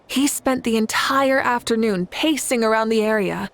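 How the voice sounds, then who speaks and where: An actress playing a confident, composed heroic leader with a playful side in a video game, speaking in an assured, wry manner. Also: female voice, fairly high pitch, normal speed, slight background noise, lightly acted. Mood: earnest, assured. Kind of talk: video game character dialogue. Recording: music behind the voice.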